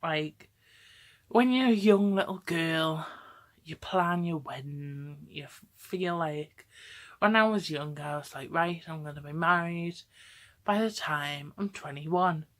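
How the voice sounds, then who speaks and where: A woman's voice: sits low, slow. A woman, talking casually to camera in a rambling, chatty way, often tagging on "okay?" and laughing. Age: 20s. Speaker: vlogger.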